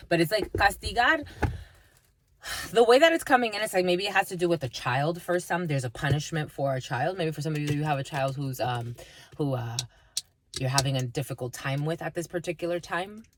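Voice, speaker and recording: A woman whose first language is Spanish, giving a halting intuitive spiritual reading to viewers, with many fillers and repeated phrases. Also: low voice, expressive, noisy background. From Dominican family, Spanish first language. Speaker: spiritual reader.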